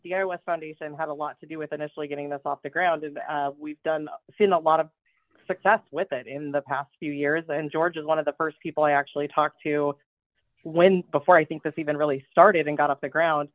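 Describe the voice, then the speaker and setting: A woman's voice: sits low, fairly even intonation, a little fast, no echo. A woman speaking casually in an interview as a business development guest. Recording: thin phone-like band, very dry.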